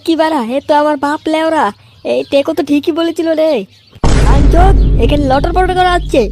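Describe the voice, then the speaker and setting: A woman's voice: high-pitched, brisk, heavy background noise, close to an everyday voice. A woman voicing a hot-headed, foul-mouthed young man in a comedy cartoon, scolding and blustering. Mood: furious.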